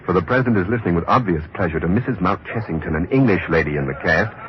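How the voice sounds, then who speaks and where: An actor playing an earnest American radio newsman reporting live from a theater, in measured vintage commentary style. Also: sits low, lively intonation. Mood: hushed, genial. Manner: measured, clearly projected announcing. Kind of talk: 1940s-50s radio news commentary. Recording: dull, noisy vintage radio recording.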